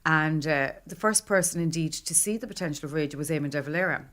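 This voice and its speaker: An Irish woman speaking as an expert interviewee, explaining in a hesitant way with frequent ums and pauses. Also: low voice, a bit bright. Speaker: broadcast historian, expert interviewee.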